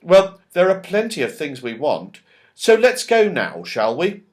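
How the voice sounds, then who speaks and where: A man's voice: fairly high pitch, huge pitch swings, unhurried. A man voicing a sensible young schoolboy in a storybook, practical and a little cross. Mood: earnest.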